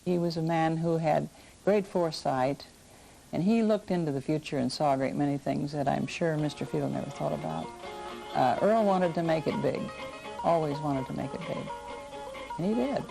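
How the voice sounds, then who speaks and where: A woman's voice: low-pitched, normal speed, vocal fry, over music, rough recording. An older woman reminiscing casually as an interviewee. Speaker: interviewee sharing recollections.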